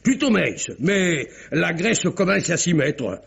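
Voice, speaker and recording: A man playing a folksy, rambling bistro owner in an old-style French radio mystery, with a gravelly, husky voice. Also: on the high side, very animated, slow pace, exaggerated voice. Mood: garrulous. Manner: colloquial, rambling, exclamatory. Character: chatty, folksy male bistro owner recalling a drama. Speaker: actor. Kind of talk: 1950s French radio drama acting. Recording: muffled old radio recording.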